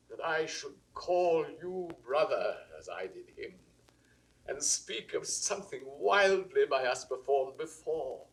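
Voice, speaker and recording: A slow-spoken, grieving old king. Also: on the high side, a bit bright, full character voice, poor audio. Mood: warm, wondering. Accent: British. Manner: measured, grandly declamatory, formal. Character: aging grieving king, remorseful, courtly. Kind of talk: classical Shakespearean stage acting, verse declamation.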